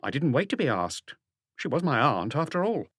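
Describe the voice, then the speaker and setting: Audiobook mystery role: sullen, guarded woman in middle age, read by a man, lifted pitch, curt and evasive. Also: big pitch swings, normal speed, fairly bright tone, breathy edge, dry recording, clean studio sound. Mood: defensive. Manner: curt, evasive, defensive answers. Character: stubborn, guarded middle-aged working woman under questioning.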